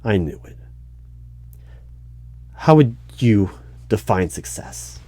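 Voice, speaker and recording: A man playing an unnerved, exasperated hiring manager in a one-sided audio roleplay, with halting speech that repeats words. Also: fairly low pitch, expressive, dark tone, a little hiss or hum. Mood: wary, testing.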